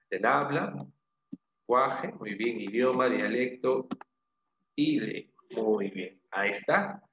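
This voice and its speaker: A man's voice: mid-range voice, a bit bright. A man teaching an interactive language class, asking questions, repeating answers and confirming them with short praise and tag questions. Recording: echoey room, dull lo-fi sound, faint noise.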